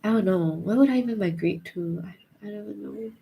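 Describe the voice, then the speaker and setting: A woman's voice: mid-range voice, normal speed, gravelly, dark tone, a little hiss or hum. A young woman with a Singaporean accent, thoughtfully answering viewer questions in a casual chat, hesitant with many fillers. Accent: Singaporean English.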